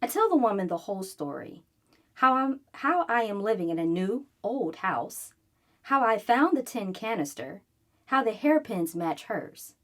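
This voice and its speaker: A woman reads a children's storybook aloud with an animated delivery. Her pitch sits in a middle range for a woman and moves freely up and down, giving the narration an expressive, storytelling feel. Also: measured pace. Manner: animated, acting out dialogue. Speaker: reader of a children's book.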